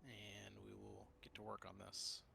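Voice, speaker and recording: A man leading a game raid over voice chat, brisk and businesslike. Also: on the low side, monotone, vocal fry, sharp tone. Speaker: raid leader. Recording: noisy voice chat audio.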